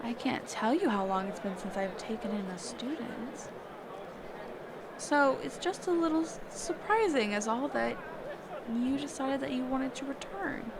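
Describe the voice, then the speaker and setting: Woman acting as a slow, high-voiced, shy mage. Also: animated, background noise. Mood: surprised, flustered. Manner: hesitant, halting, flustered, trailing off with pauses. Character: reclusive, shy, kind woman mage and magic teacher. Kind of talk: intimate character roleplay audio, one-sided conversation.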